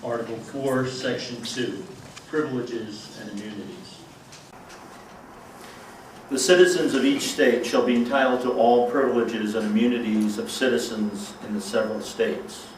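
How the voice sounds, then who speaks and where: A man's voice: mid-range voice, steady tone, moderate pace. A man who serves as a judge, reading a legal document aloud formally. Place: reverberant room at a public event. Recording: echoey hall, distant mic, faint noise.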